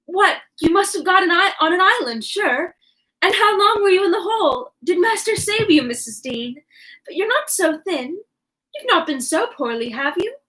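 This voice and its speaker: A woman's voice: very high-pitched, big pitch swings, moderate pace, sharp tone, voice pushed up, full character voice, rough recording. A woman voicing a chatty, gossipy country housekeeper in a broad rustic put-on dialect.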